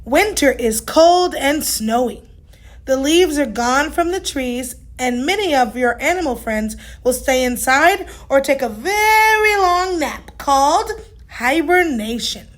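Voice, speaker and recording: Woman as a gentle, slow-talking mother hippo. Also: sits high, expressive. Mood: explaining gently. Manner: soothing, patient.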